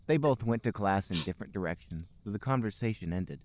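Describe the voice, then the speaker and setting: A man's voice: on the low side, expressive, a little fast. A man narrating fan fiction as a podcast host, in a mock-serious comic reading style.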